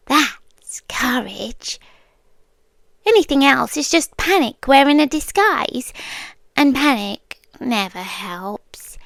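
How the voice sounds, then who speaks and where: A British woman playing a possessive, calmly menacing yandere girlfriend in an intimate ASMR roleplay, breathy and often dropping to a whisper. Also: high voice, no echo, heavily acted. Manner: slow, deliberate, breathy, drifting into whispers.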